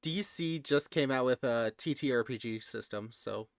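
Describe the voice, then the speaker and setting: A man in relaxed, informal conversation as a co-host of a reaction stream. His voice sits at a middling pitch, and the sound is dry with very little room in it. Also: moderate pace, dry recording. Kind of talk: casual chat, reacting to videos.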